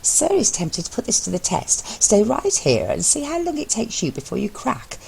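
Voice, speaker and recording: Woman voicing a sharp-tongued, exasperated young husband, creaky. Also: on the high side, huge pitch swings, normal speed, a little hiss or hum, pitched above the performer's own voice, heavily acted. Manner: exasperated, cutting, foul-mouthed.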